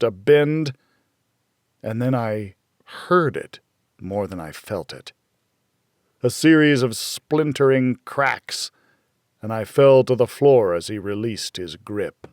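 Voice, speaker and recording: A man reading a dark first-person thriller as an audiobook, in a measured, dramatic storytelling manner. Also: medium pitch, no echo, studio quality. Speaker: audiobook narrator.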